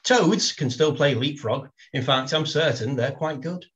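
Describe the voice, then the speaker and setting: A man's voice: fairly high pitch, unhurried, fairly bright tone. Wry man reading playful verse. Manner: wry, self-deprecating, reads verse with playful timing. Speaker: poet, guest. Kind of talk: casual chat and poetry reading.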